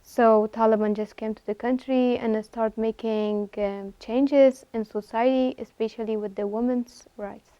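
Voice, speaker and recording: Young woman from afghanistan, kinda high voice, lots of uh and restarts, dry dull sound. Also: fairly even intonation, unhurried, hardly any pauses, warm tone, no echo. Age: 20s. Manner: hesitant, many fillers and restarts. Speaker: guest, student artist. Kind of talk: interview answers.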